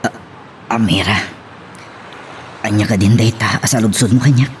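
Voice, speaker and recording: A man playing a guilty, pleading unfaithful husband with an Ilocano accent, halting and apologetic, in love drama acting. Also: on the low side, a little hiss or hum, close to an everyday voice. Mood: evasive, nervous.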